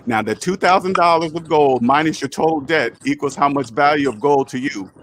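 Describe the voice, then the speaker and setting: A man's voice: a little high, fairly quick, a little hiss or hum. A man in his fifties hosting a call-in money talk, emphatic and repeating his points. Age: 50s. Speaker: host.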